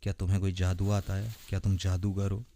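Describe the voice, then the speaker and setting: Man acting deep, dark-voiced intense vampire boyfriend. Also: monotone, brisk, slight background noise. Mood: bewildered, agitated. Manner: earnest, dramatic, puzzled questioning. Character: young male vampire boyfriend, naive, intense, protective. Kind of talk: romantic ASMR roleplay audio drama in Hindi.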